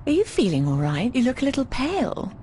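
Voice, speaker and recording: An actress voicing a breezy, affectionate, bawdy landlady in a video game, chatting in an expressive, teasing way. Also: fairly high pitch, fairly quick, slight background noise. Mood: affectionate, concerned. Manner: expressive, teasing, calls people darling. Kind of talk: conversational video game voice acting.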